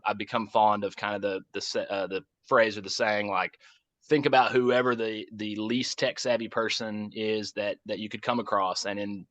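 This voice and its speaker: A lowish-pitched American man speaks informally, like a project lead fielding questions on a conference call. His delivery is halting, often circling back to rephrase. The sound is dry and slightly dull, as through a call. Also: a bit bright, no echo.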